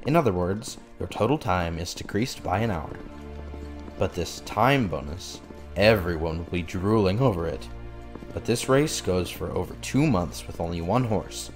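Man voicing an animated horse race announcer. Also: sits low, moderate pace, faint music behind. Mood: formal, explanatory. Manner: formal explaining that bursts into breathless excitement. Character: excitable male horse race announcer, brisk and official. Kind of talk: dramatic race commentary, manga fandub.

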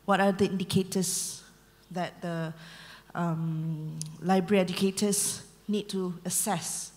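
A woman's voice: lowish voice, steady tone, takes it slowly, a little mellow. A woman asking a question as a librarian at a conference. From Malaysia. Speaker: audience questioner, university librarian. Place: large conference hall audience. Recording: reverberant large auditorium.

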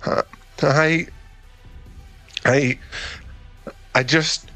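A man's voice: a little high, fairly even intonation, drawn-out words, sharp tone, soft background music, heavily acted. A man playing an awkward, kind young gym owner with a crush in an ASMR boyfriend roleplay, speaking in an earnest, hesitant, stammering way. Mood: flustered, embarrassed.

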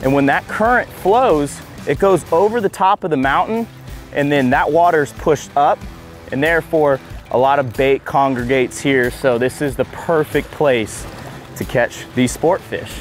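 Excited man narrating fishing from a boat. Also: fairly high pitch, unhurried, soft background music. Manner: excited, breaks into whoops and laughs while fighting fish. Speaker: fishing vlogger. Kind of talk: casual on-camera fishing narration. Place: open ocean, on a small boat outdoors.

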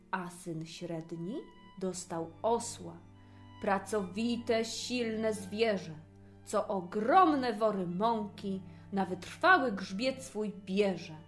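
A woman narrating a fairy tale in verse, with an expressive, measured storytelling delivery. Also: medium pitch.

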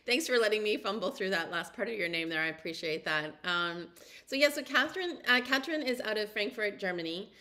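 A woman's voice: medium pitch, animated, a little fast, pushed and bright. A woman hosting a podcast over a video call, friendly and chatty. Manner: friendly, chatty, laughs easily. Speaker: podcast host. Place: home room.

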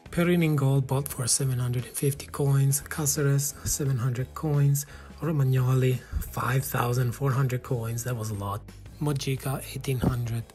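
An Italian man in his thirties narrates his game footage in a steady, matter-of-fact voice-over. His pitch sits mid-range for a man, and faint music plays underneath. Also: measured pace. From Italy. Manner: calm, matter-of-fact play-by-play. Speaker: gaming channel host.

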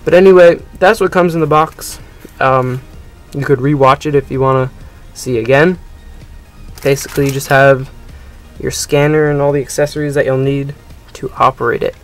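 A man's voice: mid-range voice, fairly even intonation, normal speed, soft background music. A young man casually narrating a gadget unboxing, rambling and hesitant with many ums. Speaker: unboxing video host. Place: indoors at a table.

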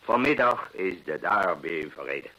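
A man's voice: low-pitched, expressive, unhurried, bright, forceful tone, heavily acted. An actor playing an eager, self-satisfied male detective's companion in a radio play, genial and slightly hesitant. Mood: eager, pleased. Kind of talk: Dutch radio drama acting. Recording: muffled vintage radio play recording.

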